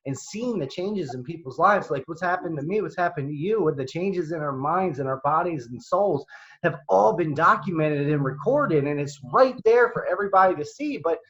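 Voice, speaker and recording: A man's voice: a little high, expressive, normal speed, a bit bright, slightly rough. A man in his thirties talking earnestly in a casual spiritual conversation, repeating phrases for emphasis. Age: 30s. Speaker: podcast host. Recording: muffled lo-fi sound.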